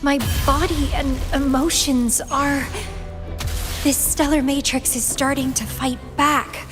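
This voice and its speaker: High, languid, obsessive fighter girl. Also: fairly even intonation, takes it slowly. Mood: uneasy. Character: young woman fighter, obsessive, playful, melancholy, lethal. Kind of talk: video game character acting. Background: background music.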